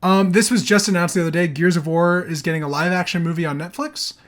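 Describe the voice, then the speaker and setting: A man's voice: sits high, fairly even intonation, quick pace. A man hosting a casual podcast, talking in a slangy, opinionated way with some swearing. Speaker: podcast host.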